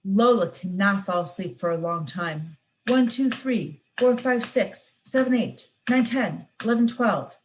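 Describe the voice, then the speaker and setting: A young woman student reading a children's picture book aloud in an expressive, sing-song way. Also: medium pitch, a little slow, slight background noise, rough recording. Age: young adult. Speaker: student reader.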